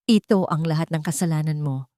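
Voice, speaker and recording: Malamig at mapaghiganting asawang babae, ginagampanan ng tagapagsalaysay. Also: female voice, deep voice, brisk, warm tone, no room sound, studio quality, natural voice. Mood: cold menace. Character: cold, calculating vengeful wife, menacing.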